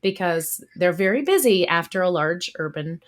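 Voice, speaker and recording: A woman from the US East Coast, a guest in a podcast interview, telling her story in a lively, expressive way. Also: fairly low pitch, normal speed. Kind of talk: casual interview talk.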